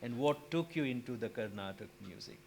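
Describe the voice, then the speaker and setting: A man's voice: medium pitch, lively intonation, gravelly, a little mellow. Man moderating, reflective with fillers. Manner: reflective, measured with frequent fillers. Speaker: panel moderator. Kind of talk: panel discussion.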